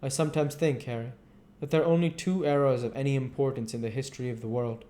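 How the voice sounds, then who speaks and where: A man voicing an earnest, devoted, brooding gentleman painter from a novel, with an intense and sincere manner. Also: medium pitch, fairly even intonation, fast talker, natural voice.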